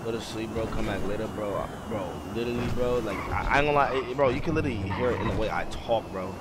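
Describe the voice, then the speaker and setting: A male game streamer chats casually in heavy slang, tacking "bro" onto his gripes. His mouth is sore as he talks. Loud street and vehicle noise from the game runs behind him. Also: lowish voice, quick pace. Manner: slangy, complaining, peppers talk with "bro". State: sore mouth from aching wisdom tooth. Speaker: game livestreamer. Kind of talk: casual slangy chat while roleplaying online game.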